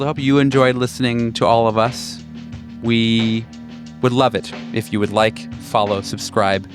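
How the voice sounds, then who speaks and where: A man's voice: medium pitch, a bit bright. A man narrating a tabletop horror game as its game master and host.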